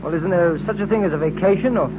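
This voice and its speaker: A man's voice: high-pitched, quick pace, narrow, tinny audio, close to an everyday voice. An actor playing a folksy, loyal policeman sidekick with a plain-spoken manner in a 1940s radio serial. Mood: puzzled, weary. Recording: noisy, band-limited old radio recording.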